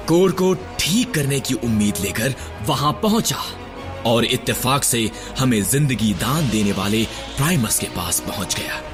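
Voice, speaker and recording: Guy doing grumpy old robot doc telling old war history, mid voice, loud music under it. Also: medium pitch, natural voice. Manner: measured, lecturing, somber. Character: grizzled old male robot medic, stern and weary. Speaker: storyteller recounting history. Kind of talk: Hindi cartoon dub. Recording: studio dub with loud score.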